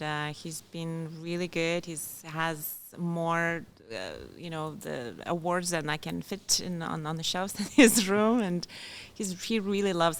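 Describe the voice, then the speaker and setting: A woman's voice: fairly low pitch, clear, resonant tone. A woman in her thirties from Lithuania with a mixed Lithuanian and American accent, chatting informally and talkatively as a podcast guest. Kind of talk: casual chat.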